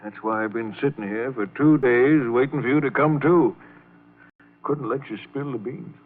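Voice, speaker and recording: A man's voice: mid-range voice, normal speed, natural voice. An actor playing a folksy, sly, paternal older man in an old-time radio crime drama, slangy, wry and confiding. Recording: narrow vintage radio recording.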